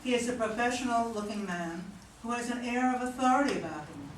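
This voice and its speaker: A woman's voice: a little high, a little fast. Woman reading stage directions, echoey and noisy. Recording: echoey room, far mic, clear background noise.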